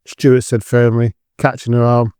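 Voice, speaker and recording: A man's voice: medium pitch, completely flat delivery, measured pace, a little mellow, clean tone, dry recording. Man narrating an audio drama, dry studio sound.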